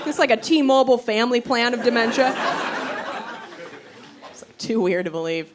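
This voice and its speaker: A woman performing stand-up comedy, telling stories in an animated, conversational way and acting out quotes. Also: fairly high pitch, moderate pace. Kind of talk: stand-up comedy storytelling to a live audience. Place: live comedy venue on stage. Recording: live crowd laughter, noisy stage recording. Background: audience laughter.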